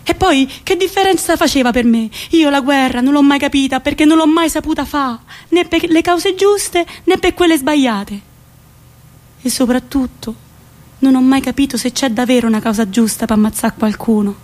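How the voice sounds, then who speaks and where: A woman's voice: high voice, moderate pace, a little mellow, close to an everyday voice. Woman playing an old central Italian village grandmother, reminiscing. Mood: wistful, resigned. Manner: colloquial, rustic, reflective. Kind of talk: theatrical monologue storytelling.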